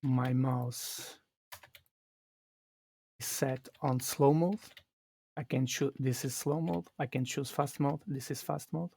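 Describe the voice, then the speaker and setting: A man from Portugal with a Portuguese accent, explaining his game live in a halting way that restarts phrases. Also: mid-range voice, fairly even intonation, creaky, darkish tone, husky. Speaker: streamer. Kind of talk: live gameplay commentary.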